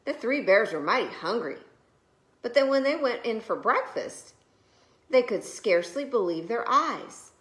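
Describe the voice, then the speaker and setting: Animated woman reading a children's story, echoey. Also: fairly high pitch, a little slow. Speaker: preschool teacher reading stories.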